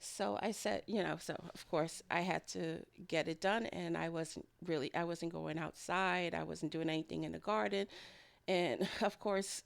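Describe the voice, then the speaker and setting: A woman's voice: fairly low pitch, steady tone, normal speed, creaky. A woman narrating a homemade food vlog in a casual, rambling way, often with a light chuckle in her talk. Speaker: home food and garden vlogger. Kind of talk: casual voice-over narration of a kitchen process.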